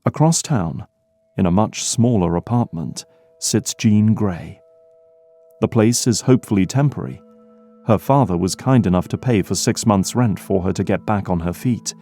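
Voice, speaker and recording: Low, mellow male narrator. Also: moderate pace. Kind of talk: scripted dramatic narration.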